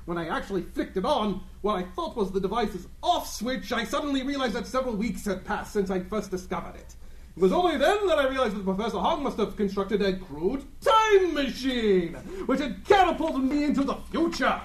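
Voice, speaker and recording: A man acting a bombastic gentleman adventurer in a comic radio play, telling his story in a pompous, grandiose way. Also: extremely high pitch, very animated, fairly quick. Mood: boastful.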